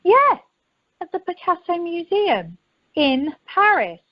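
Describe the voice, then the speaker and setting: Romantic newlywed wife, woman performer; animated amateur radio acting, muffled phone line with clear noise. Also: very high voice, slow pace, heavily acted. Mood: insistent. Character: romantic, wistful newlywed young bride. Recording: noisy, muffled telephone conference line.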